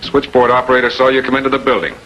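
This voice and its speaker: An American actor playing a shrewd public prosecutor in 1940s television crime drama style, questioning in a deliberate, authoritative way. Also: male voice, medium pitch, little pitch movement, sharp tone, highs cut off, close to an everyday voice. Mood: pressing, accusing. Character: shrewd, composed male public prosecutor confronting murder suspects. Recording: noisy 1940s film soundtrack.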